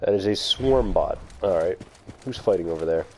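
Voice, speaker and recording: A man's voice: deep voice, animated, moderate pace, slight background noise. Chatty man streaming a game. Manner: chatty, talks to chat viewers by name, laughs often. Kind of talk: casual live-stream commentary.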